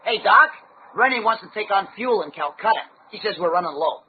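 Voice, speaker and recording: An actor playing a scrappy, good-humoured adventurer sidekick with slangy, boyish, exclamatory talk in an old-time radio serial. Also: male voice, high-pitched, expressive, brisk. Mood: chatty, eager. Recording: tinny narrow-band radio recording with hiss.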